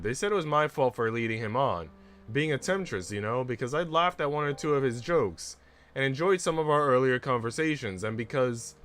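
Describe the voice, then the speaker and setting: Man voicing a clear, earnest, wounded young maid. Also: mid-range voice, a bit bright. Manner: earnest, formal wording. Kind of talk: visual novel read aloud.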